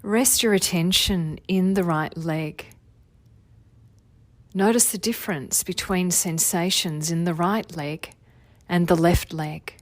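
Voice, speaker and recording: A woman leading a guided meditation as a teacher, giving gentle, soothing body-scan instructions in an unhurried way. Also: lowish voice, no echo. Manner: soothing, unhurried, gentle instruction with long phrases trailing softly.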